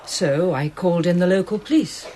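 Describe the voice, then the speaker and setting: A woman's voice: lowish voice, moderate pace, warm tone, a little hiss or hum, close to an everyday voice. An actress playing an earnest gallery woman in a radio play, insistent and pleading. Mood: troubled. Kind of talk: radio drama acting.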